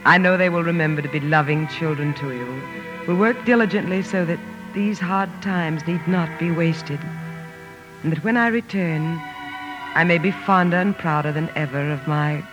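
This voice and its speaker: An actress playing a loving, composed mother in a period drama, tender and measured. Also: low-pitched, muffled recording, over music, close to an everyday voice. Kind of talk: period radio drama acting.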